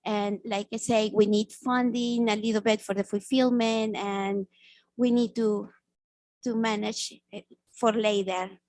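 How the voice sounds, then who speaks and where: A woman from South America pitching her startup to judges, halting and repeating words as she answers. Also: level tone, darkish tone, no room sound.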